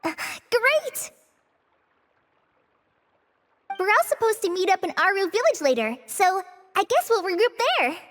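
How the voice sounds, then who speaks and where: A woman's voice: very high voice, very animated, normal speed, a bit bright, a little hiss or hum, exaggerated voice. A woman playing a modest, earnest teenage girl ranger with a light, youthful, hesitant and polite delivery in an anime game dub. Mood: relieved, friendly. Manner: hesitant, self-effacing, polite. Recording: music playing behind the voice.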